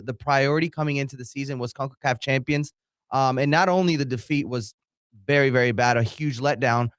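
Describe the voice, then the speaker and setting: A man's voice: medium pitch, a bit bright, no room sound. A man co-hosting a soccer talk show, offering analysis in a conversational way. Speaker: co-host and producer.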